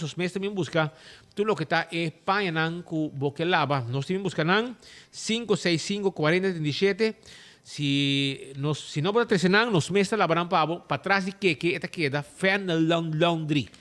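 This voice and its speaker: A man with an Aruban accent hosting a radio talk show, chatting loosely, reading ads and switching between languages. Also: a little high. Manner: lively, rambling, mixes languages.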